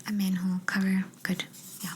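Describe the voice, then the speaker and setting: A woman's voice: mid-range voice, completely flat delivery, a little fast, a little hiss or hum. A woman whispering breathily and playfully as a quirky job interviewer in an ASMR roleplay, close to the microphone. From Canada. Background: writing sounds.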